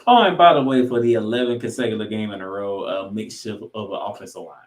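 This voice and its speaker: A man hosting a sports podcast, giving football commentary in an emphatic way, with dramatic pauses and repeated phrases. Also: on the low side.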